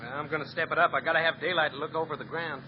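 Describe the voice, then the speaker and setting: An actor playing a good-natured country stunt driver with a folksy drawl, in a 1940s radio drama. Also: male voice, on the high side, steady tone, very fast, natural voice. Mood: easygoing. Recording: dull old radio recording, faint hiss.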